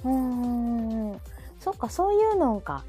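A woman's voice: on the high side, very animated, normal speed, warm tone. A woman hosting a live talk in casual chat, attentive and often chiming in with short listening sounds. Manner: attentive, frequent backchannels. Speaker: host of a live audio talk show. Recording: background music under the voice.

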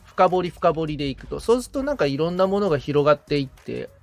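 A man's voice: on the high side, lively intonation, fast talker, creaky, faint music behind. A man chatting casually as a podcast assistant, attentive and agreeable as he takes in advice. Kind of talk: casual podcast chat with frequent listening responses.

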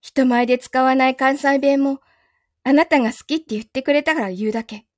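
A woman's voice: sits high, fairly quick, no room sound, clean studio sound, close to an everyday voice. A woman playing a betrayed, possessive young lover in a live audio drama, with a Kansai Japanese accent and a controlled, coldly teasing manner. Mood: bitter, possessive.

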